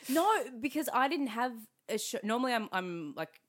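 A woman's voice: a little high, lively intonation, normal speed. A woman comedian chatting casually on a podcast, laughing and acting out her own quoted thoughts. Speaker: comedian guest.

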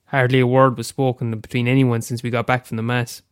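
A man's voice: medium pitch, flat delivery, a little fast, smooth, no echo, clean studio sound. A young Irish man with a head cold, reading aloud a short story he wrote, after a hesitant casual introduction. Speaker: amateur storyteller.

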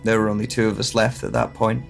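A man playing a haunted, guilt-ridden scholar with lost memories in an audio drama monologue, speaking in halting, deliberate phrases. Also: lowish voice, flat delivery, vocal fry, faint music behind. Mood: somber, reflective.

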